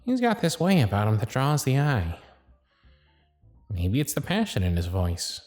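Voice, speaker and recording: Guy doing a bossy teasing elf supervisor, asmr roleplay, pitch moves around a lot, super dry recording. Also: wildly swooping pitch, measured pace, darkish tone, no room sound, faint music behind. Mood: musing, admiring. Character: gruff but fond male elf workshop supervisor.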